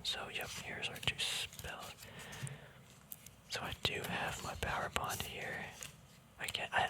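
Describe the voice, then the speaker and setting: A man speaking in a soft, unvoiced whisper, relaxed and chatty, hosting a casual ASMR walkthrough. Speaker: ASMR video host.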